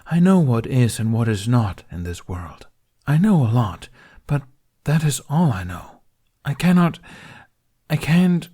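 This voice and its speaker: A man's voice: mid-range voice, very animated, measured pace, warm tone, husky, voice pushed up. Earnest, emphatic dragon, voiced by a man. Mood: frustrated, urgent. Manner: emphatic, insistent. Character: earnest, protective male dragon, fiercely loyal and exasperated.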